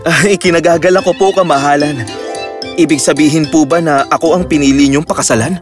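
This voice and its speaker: A man voicing a gallant, tender young cat-boy hero in an animated children's story, earnest and reassuring. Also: a little high, a little fast, music in the background, close to an everyday voice. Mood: courteous.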